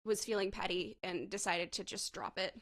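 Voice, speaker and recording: Young woman co-host, bright edge, gushing and excitable. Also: medium pitch, normal speed. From Texas. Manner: excitable, gushing fan talk with laughs. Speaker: podcast co-host. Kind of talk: casual chat.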